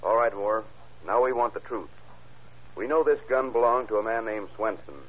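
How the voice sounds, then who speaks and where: An actor playing a blunt, sardonic, sceptical police interrogator in an old-time radio crime drama. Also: male voice, lowish voice, moderate pace, close to an everyday voice. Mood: stern, pressing. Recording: muffled vintage radio recording.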